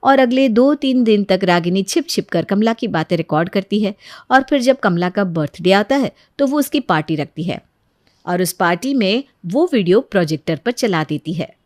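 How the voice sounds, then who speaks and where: A woman narrates a moral tale in a scripted storytelling style. Her voice sits at a middle pitch, recorded close and very dry. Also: animated, moderate pace, dry recording.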